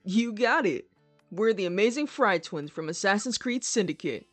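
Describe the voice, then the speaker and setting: Polite, eager twin brother, voiced by a woman, husky and lively, audio drama. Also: medium pitch, moderate pace, dry recording, faint music behind, lightly acted. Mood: enthusiastic.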